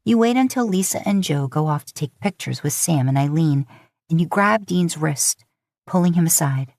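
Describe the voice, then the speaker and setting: A woman's voice: sits low, lively intonation, moderate pace, a little mellow, dry recording, clean studio sound. A woman reading scripted fiction as an audiobook narrator.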